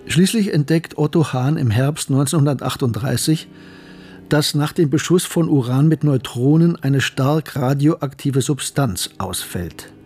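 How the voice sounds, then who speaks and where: An actor playing a sober male narrator who recounts wartime history in a measured, factual way, with a husky voice. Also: mid-range voice, darkish tone. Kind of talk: scripted narration in a radio play.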